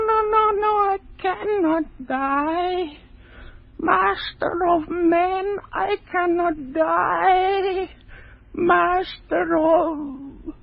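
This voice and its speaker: A man playing an anguished, dying scientist in 1940s radio horror, wailing slowly and melodramatically. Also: very high-pitched, creaky, heavily acted. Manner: slow, wailing, melodramatic delivery. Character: anguished dying scientist, aging horribly fast. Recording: dull old radio recording.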